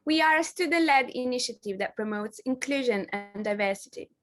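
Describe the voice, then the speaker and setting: A woman from Morocco, raised in Italy, presenting a student collective with a clear, welcoming delivery. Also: fairly high pitch, expressive, brisk, soft background music. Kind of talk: scripted introduction.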